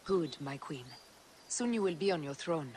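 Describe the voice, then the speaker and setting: A woman's voice: low voice, lively intonation, normal speed, a little mellow, slight background noise. A woman playing a dry, confident and stubborn young female warrior in a video game cutscene.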